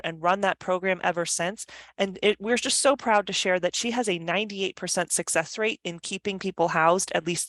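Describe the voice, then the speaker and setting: A woman's voice: on the low side, fairly bright tone, dry recording. Woman nonprofit presenter: earnest, filler-heavy delivery, dry video-call sound. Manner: earnest, many ums and uhs. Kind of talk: virtual meeting presentation.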